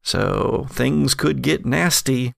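A man's voice: medium pitch, slow pace, creaky, fairly bright tone, no room sound, clean studio sound. A man acting as game master, improvising narration for a tabletop horror game.

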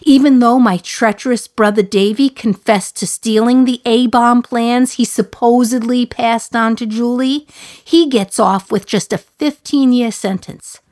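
A woman's voice: fairly high pitch, steady tone, measured pace, darkish tone, natural voice. An actress playing a condemned woman prisoner in her thirties, talking to the listener in a wry, sardonic, confiding way in a radio play monologue.

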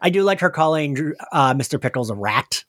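A man's voice: a little high, lively intonation, fairly quick, fairly bright tone. A man hosting a casual recap discussion, conversational and often questioning. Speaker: podcast host.

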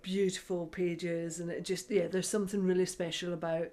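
A woman's voice: fairly low pitch, level tone, moderate pace. Enthusiastic British woman hosting a podcast. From United Kingdom. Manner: warm, enthusiastic, laughs readily. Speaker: podcast host. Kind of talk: casual interview chat.

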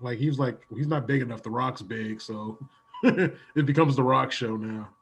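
A man chatting casually as a podcast panelist, telling things in an informal, animated way. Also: mid-range voice, moderate pace, creaky, faint music behind. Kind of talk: casual chat.

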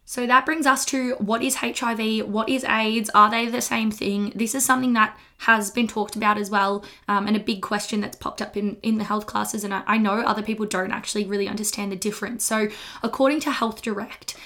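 A young Australian woman with a slightly husky voice after an illness, talking frankly and chattily as a podcast host and health teacher. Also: mid-range voice, steady tone, fairly quick, sharp tone. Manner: chatty, frank, laughs between points. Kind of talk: casual educational monologue.

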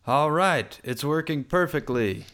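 A man playing a grandiose, excitable inventor in a radio drama, declaiming in a pompous, speechmaking way. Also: fairly high pitch, unhurried. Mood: pleased. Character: grandiose, excitable male inventor of a music-making machine. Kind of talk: scripted radio drama acting.

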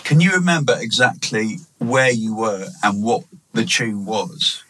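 A man's voice: on the low side, expressive, a little slow, fairly bright tone, rough recording. A man hosting an interview, playfully formal and encouraging toward his guest. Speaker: interviewer, host. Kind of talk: casual interview.

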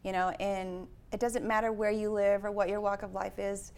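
Woman host, relaxed and chatty, asks reflective questions. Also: mid-range voice, normal speed, smooth. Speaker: interview host. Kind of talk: casual interview conversation.

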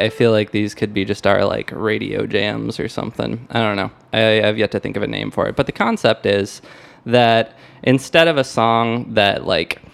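A man's voice: on the low side, vocal fry, a bit bright. A young American man hosting a podcast, chatting casually about music in a rambling, hesitant way that often circles back on itself. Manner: rambling, hesitant, self-interrupting. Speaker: podcast host.

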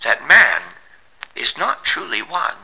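A man playing a tormented, proud Victorian gentleman scientist, delivering an intense, grandiose confession as a gothic drama monologue. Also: a little high, very animated, slow pace, vocal fry, slightly rough, exaggerated voice, low-quality recording. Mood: fervent anguish.

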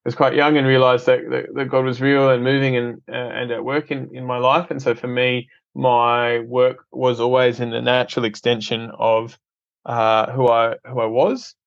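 A man's voice: mid-range voice, little pitch movement, moderate pace, gravelly, no room sound, dull audio. An Australian man from Adelaide, a podcast guest speaking earnestly in casual interview talk, often repeating words as he restarts. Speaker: podcast guest, film company head.

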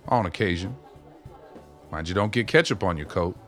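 Actor as low-voiced, courtly old gentleman fixer. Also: soft background music. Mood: easygoing, wry. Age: older. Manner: courtly, folksy, unhurried old-time phrasing. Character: dapper old man, genial, knowing, well-connected fixer. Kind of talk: radio play acting.

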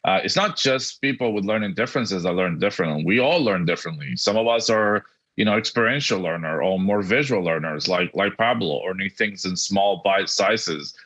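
A middle-aged man from Argentina, a native Spanish speaker, giving earnest, halting interview answers over dull, lo-fi audio. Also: low-pitched, expressive, fairly bright tone, breathy edge. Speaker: podcast guest, education technology executive.

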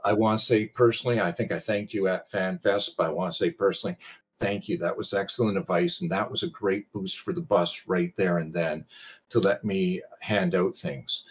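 Low husky older man, rambling and wry. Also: steady tone, normal speed. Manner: rambling, self-deprecating, wry humor. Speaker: pitching guest on a game panel show. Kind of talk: casual chat.

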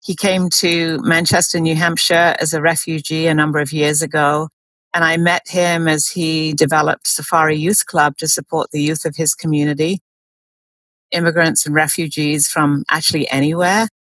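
Low-voiced woman hosting an earnest interview. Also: dry recording. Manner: earnest, gently probing. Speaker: host. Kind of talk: interview, warm introduction.

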